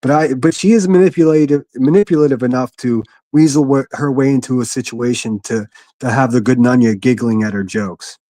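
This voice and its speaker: A man with a gruff voice hosting a solo livestream from his quiet living room, reading chat and speaking bluntly with frequent restarts. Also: mid-range voice, brisk, no echo. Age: adult, a military veteran. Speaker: livestream host.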